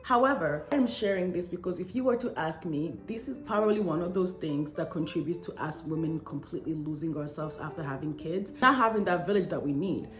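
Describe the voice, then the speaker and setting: Low-voiced woman vlogger in her thirties. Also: fairly quick, hardly any pauses, roomy sound, soft background music. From Congo. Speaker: vlogger, stay-at-home mother. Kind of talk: scripted talk to camera in a lifestyle vlog.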